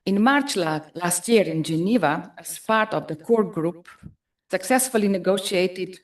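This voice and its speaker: A woman with a Slovenian accent gives formal opening remarks in a somewhat low voice, measured and diplomatic. She is picked up from a distance, so her voice sounds set back from the microphone. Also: expressive. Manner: formal, deliberate diplomatic delivery. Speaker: ambassador. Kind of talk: formal conference address. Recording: distant mic in a conference room.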